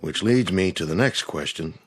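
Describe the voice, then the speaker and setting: An actor playing a skeptical, protective diner owner in a radio drama, talking in a blunt, colloquial way. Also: male voice, low voice, animated, moderate pace. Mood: wary, subdued. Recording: music under the voice.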